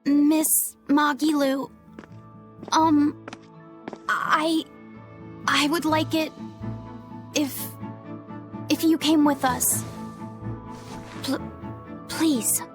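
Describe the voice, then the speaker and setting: Shy, high-voiced little boy. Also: steady tone, over music. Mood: nervous pleading. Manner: halting, timid, polite. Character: shy, hesitant young boy spirit. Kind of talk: video game cutscene voice acting.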